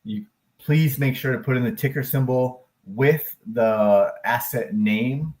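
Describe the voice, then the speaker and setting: An upbeat man hosts a casual live stream on market charts, his pitch sitting in the middle of the usual male range. He talks in a loose, rambling way, full of fillers and quick self-corrections, and breaks into loud whooping cheers now and then. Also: expressive. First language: English. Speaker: live stream host, crypto and stock chart analyst.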